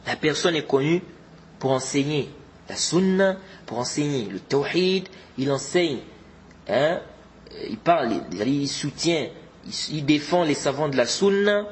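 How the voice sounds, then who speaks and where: Earnest male religious teacher, slightly high. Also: animated, a little slow, a little hiss or hum. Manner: repetitive, exhorting, mixes in Arabic religious terms. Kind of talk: informal religious lesson.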